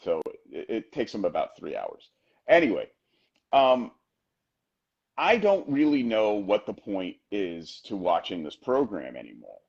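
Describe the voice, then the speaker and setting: Husky animated man, wrestling podcast host. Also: on the low side, muffled recording. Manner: animated, emphatic, talks in quick bursts. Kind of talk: casual wrestling chat.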